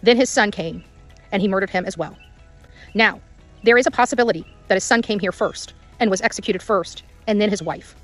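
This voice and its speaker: A woman's voice: medium pitch, fairly quick, a bit bright, soft background music. A woman doing unscripted vlog-style reporting outdoors, often repeating her phrases. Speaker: amateur on-site reporter. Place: outdoors in quiet rural area.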